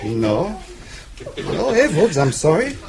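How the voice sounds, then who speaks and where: An actor playing a courteous, old-world hotel receptionist in a radio drama, formal, deferential and unhurried. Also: male voice, a little high, wildly swooping pitch, gravelly, crowd noise, exaggerated voice. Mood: polite.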